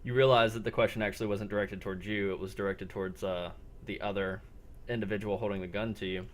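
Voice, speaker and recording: A man running a roleplay game as game master, improvising descriptions in halting, paused phrases. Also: fairly low pitch, steady tone, slight background noise.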